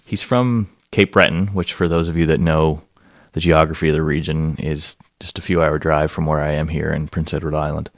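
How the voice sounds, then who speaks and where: Very deep, gravelly-voiced man hosting radio. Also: fairly even intonation, normal speed, hardly any pauses, no echo, clean studio sound. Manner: easygoing, chatty, hesitant with many fillers. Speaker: radio music show host. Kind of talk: casual radio commentary between songs. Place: Prince Edward Island.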